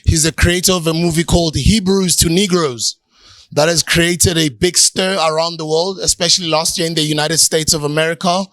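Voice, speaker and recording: Male co-host; relaxed promo read, slightly raised pitch, dry close mic. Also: normal speed, dry recording. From South Africa. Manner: relaxed, promotional, hesitant with ums. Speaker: podcast co-host. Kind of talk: casual chat, closing plugs.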